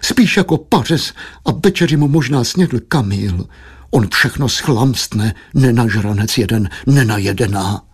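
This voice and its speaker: A man's voice: expressive, husky. A man voicing an anxious, doting wife and mother in an audiobook, moaning and fretful, full of insistent questions. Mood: wailing complaint. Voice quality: high, plaintive, moaning.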